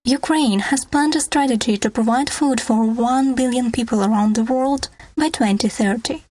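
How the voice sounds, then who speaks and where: A woman's voice: on the high side, moderate pace, darkish tone. A woman delivering scripted documentary narration as a news podcast narrator.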